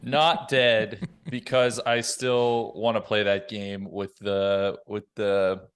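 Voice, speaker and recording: Clear-voiced man in casual podcast banter. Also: lowish voice, flat delivery, slow. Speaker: roundtable panelist. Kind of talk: casual chat.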